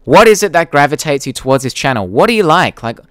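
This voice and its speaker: A man's voice: fairly high pitch, very animated, fast, a bit bright, dry recording, clean studio sound. A young Australian man hosting a casual live Q&A, chatting to viewers and reading their comments in a blunt, informal, sweary way. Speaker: YouTube creator hosting a live Q&A.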